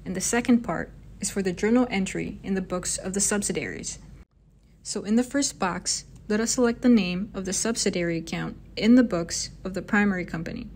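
Woman narrating a step-by-step software tutorial. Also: mid-range voice, a little hiss or hum. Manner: instructional, step by step.

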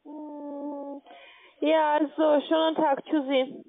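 A woman makes a sales call in a language not her own. Her voice is clearly high. It is heard thinly over the telephone. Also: level tone, takes it slowly. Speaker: call centre sales agent. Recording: tinny phone line.

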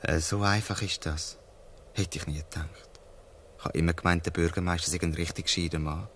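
A man's voice: deep voice, steady tone, fairly quick, a little hiss or hum, close to an everyday voice. An actor playing a wary, defiant orphan boy in a Swiss German radio play. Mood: amused.

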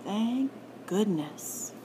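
A woman reading a picture book aloud to children in an animated, playful way, drawing out the sound words. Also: mid-range voice, extremely slow, noisy background. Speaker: library story time reader.

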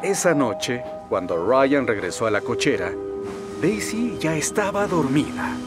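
A man with a Latin American Spanish accent giving scripted, expressive storybook narration for a cartoon. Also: mid-range voice, measured pace, loud music behind the voice.

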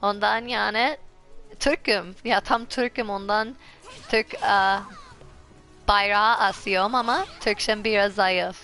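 A young American woman from Ohio streaming a fighting game while sick, coughing now and then. She chats with viewers and squeals and yells at the game. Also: medium pitch, fairly even intonation, measured pace. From Ohio, USA. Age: young adult. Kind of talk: casual game stream chat. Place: home room, indoors. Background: faint game music.

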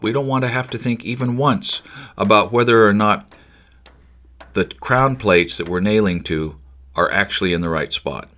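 An older man giving a measured, explanatory voice-over for a house framing lesson. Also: on the low side, a bit bright. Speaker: framing instructor. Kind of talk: scripted voice-over narration.

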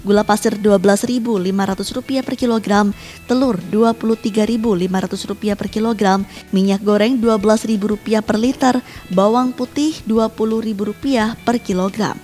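A woman's voice: medium pitch, normal speed, faint music behind. Woman reading a formal news voice-over. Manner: even, formal read. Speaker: news reporter.

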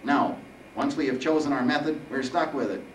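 A man's voice: medium pitch, brisk, pitched above the performer's own voice, natural voice. A man voicing a petty, sarcastic Grim Reaper with a nasal, whiny, long-winded delivery in a storybook reading. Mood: defensive. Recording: roomy, distant mic, faint noise.

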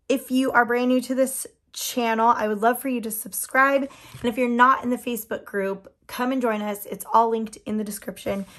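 A woman chatting casually while doing her nails, her voice a bit worn from losing it, rambling with frequent ums and little laughs. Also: fairly high pitch. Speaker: nail product seller and channel host. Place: indoors at a tabletop.

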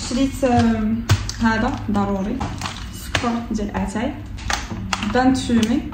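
A woman's voice: a little high, moderate pace. Chatty Moroccan woman in an echoey kitchen. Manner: chatty, relaxed, many hesitations. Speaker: vlogger. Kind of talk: casual vlog narration. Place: apartment kitchen, indoors. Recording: echoey room, distant mic, noisy background.

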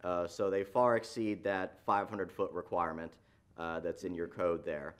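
Deep-voiced man presenting a staff report. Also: fairly even intonation, normal speed. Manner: explanatory, frequent fillers and restarts. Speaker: city planning staff presenter. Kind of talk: staff report at a public meeting. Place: council chamber.

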